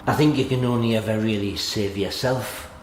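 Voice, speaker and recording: A man playing a weary, gentle old grandfather in a radio drama, speaking in few, subdued words. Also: lowish voice, normal speed, reverberant, slight background noise, lightly acted. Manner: laconic, subdued. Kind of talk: 1990s radio drama acting.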